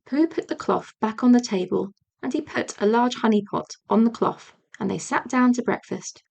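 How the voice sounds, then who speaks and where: High, creaky woman storyteller. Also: expressive, normal speed, darkish tone. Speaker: audiobook reader. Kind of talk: children's storybook narration.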